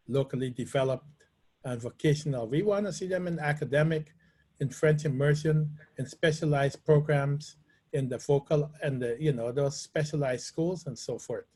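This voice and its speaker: A man, a school principal, speaking in a measured, thoughtful way on a panel discussion. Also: medium pitch, darkish tone.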